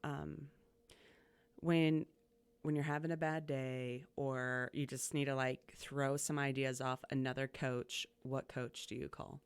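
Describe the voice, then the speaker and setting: Gravelly-voiced woman host, chatty. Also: a bass voice, flat delivery, unhurried, no echo. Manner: chatty and upbeat, many fillers and restarts. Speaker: podcast host, community college coach. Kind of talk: casual interview conversation.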